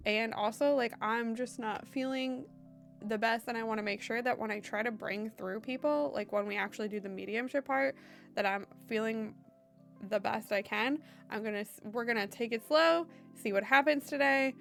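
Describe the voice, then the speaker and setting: A woman with a fairly high, hoarse voice that keeps threatening to give out. She talks to her stream audience in a loose, rambling way, full of "um" and "like". Faint music plays behind her. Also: normal speed, a bit bright. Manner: chatty and meandering, heavy on fillers like "um" and "like". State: hoarse, voice intermittently giving out. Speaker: live streamer and medium. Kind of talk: casual live-stream chat with viewers.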